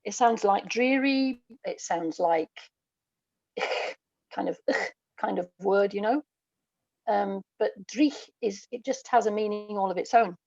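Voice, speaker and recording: A woman's voice: medium pitch, slow, vocal fry, darkish tone, no echo, lo-fi sound. A woman from England with an English accent, speaking reflectively and articulately as a guest in a casual interview. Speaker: guest, writer and audio dramatist.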